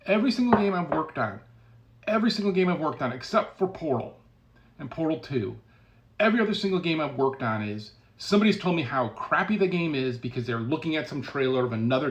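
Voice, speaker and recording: A man speaking casually as a game developer, giving opinionated commentary in a blunt, sardonic, emphatic way. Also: mid-range voice.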